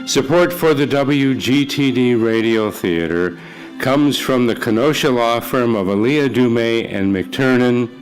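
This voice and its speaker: A man's voice: mid-range voice, unhurried, poor audio. Man hosting, American accent, music behind. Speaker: radio theater host and announcer. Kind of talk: live broadcast credits and on-stage chat. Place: church with live studio audience.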